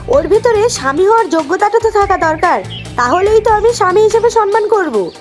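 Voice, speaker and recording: A woman's voice: very high-pitched, animated, fairly quick, soft background music. A woman playing an outspoken, exasperated young daughter-in-law in a cartoon, complaining and insistent. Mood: indignant. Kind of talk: cartoon moral-tale character acting.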